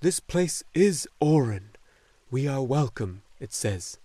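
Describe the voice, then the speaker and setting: A man voicing a wise, joyful luck dragon in a storybook, speaking slowly and solemnly with great deliberation. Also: mid-range voice, sing-song, mellow, no echo. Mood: solemn, measured. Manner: slow, solemn, deliberate delivery.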